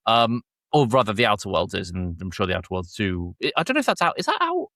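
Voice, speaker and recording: A man's voice: on the low side, wildly swooping pitch, fast talker, a bit bright, dry recording, studio quality. A man hosting a podcast, recapping and discussing in a casual, conversational way. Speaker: podcast host.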